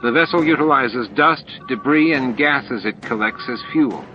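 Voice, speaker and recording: A man voicing a composed, logical female alien science officer in a science fiction audiobook, giving measured technical reports. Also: mid-range voice, muffled recording, faint music behind, close to an everyday voice. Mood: precise, matter-of-fact.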